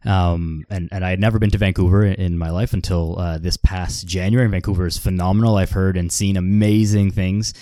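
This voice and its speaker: A man's voice: deep voice, steady tone, a little fast, hardly any pauses, mellow, dry recording, clean studio sound. A man hosting a podcast, asking questions in easy conversation, often repeating words as he gathers his thoughts. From Canada. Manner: repeats words while thinking, laughs along. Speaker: podcast host. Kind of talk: casual interview hosting.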